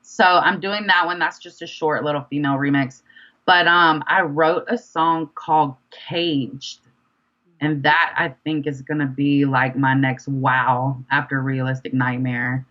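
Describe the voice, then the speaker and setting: A woman's voice: low voice, fairly even intonation, measured pace, fairly bright tone, highs cut off. A young adult woman from the southern United States chatting casually and expressively as an interview guest. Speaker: guest rapper and songwriter being interviewed.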